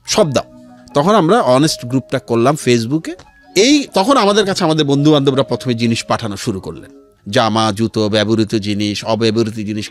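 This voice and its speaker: Animated, higher-voiced Bangladeshi man explaining earnestly. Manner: earnest, explanatory, mixes in English phrases. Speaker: interview guest, founder of a charity resale platform. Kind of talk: conversational storytelling in a radio interview. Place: radio studio.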